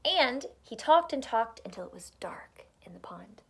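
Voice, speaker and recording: A woman with a slightly high voice reads a picture book aloud as a teacher would for children. Her delivery is expressive, with dramatic pauses and emphasis. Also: creaky, a little hiss or hum. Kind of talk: storybook read-aloud narration for children.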